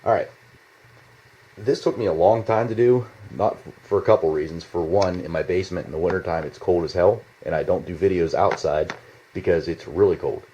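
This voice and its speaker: A man giving a casual, unscripted product demonstration in a halting, rambling, informal way, recorded indoors in a home basement. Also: deep voice, mellow, a little hiss or hum. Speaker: product reviewer. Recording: slightly dull, lo-fi home video sound.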